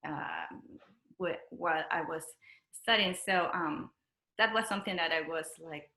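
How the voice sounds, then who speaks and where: Bright-voiced Peruvian woman, roomy sound. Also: low voice, creaky. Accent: Peruvian Spanish. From Lima, Peru. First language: Spanish. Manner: giggly, halting, searching for words. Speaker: interview guest, dancer and choreographer. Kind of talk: casual conversational interview. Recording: roomy echo.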